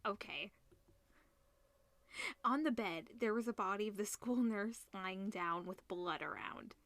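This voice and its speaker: A woman reading a visual novel aloud as a let's player, expressive, mixing narration with casual reactions. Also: mid-range voice, unhurried, dry recording. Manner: expressive, switches between narration and character lines.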